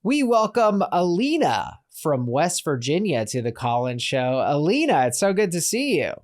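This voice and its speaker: A man's voice: a little high, sing-song, moderate pace. A man hosting a call-in podcast, joking with his guest and laughing easily, then reading a post aloud. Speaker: podcast host.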